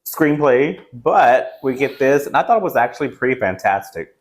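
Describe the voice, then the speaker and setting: A man's voice: medium pitch, very animated, moderate pace. A man in his forties chatting casually as a movie review co-host, rambling and chatty, recorded a few feet from a camera in a small room. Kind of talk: casual film chat. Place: small indoor game room.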